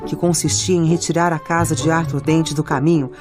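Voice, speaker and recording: A woman's voice: sits low, steady tone, brisk. A woman with a Brazilian accent reading scripted audiobook narration. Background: music and added sound effects.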